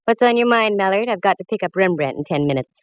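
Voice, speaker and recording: A woman's voice: mid-range voice, lively intonation, quick pace, highs cut off, natural voice. A woman playing a wisecracking private detective, narrating in wry hardboiled style in a 1940s radio drama. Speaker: lead actress and narrator. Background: music.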